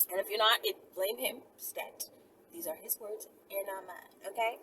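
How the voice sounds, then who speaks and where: A woman chatting casually to her viewers in a blunt, slangy, animated way, often sidetracking herself. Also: medium pitch, slow pace. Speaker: video channel host. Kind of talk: casual rambling chat to viewers. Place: outdoors near houses and passing cars. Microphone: phone on a holder. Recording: noisy outdoor phone recording, passing vehicles.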